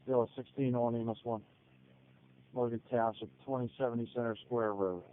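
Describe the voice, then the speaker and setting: A man's clear, mid-pitched voice comes through a thin, narrowband radio. He reads out a formal dispatch announcement in short phrases. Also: fairly even intonation, brisk. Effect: two-way radio. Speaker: emergency dispatcher. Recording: narrowband emergency radio transmission.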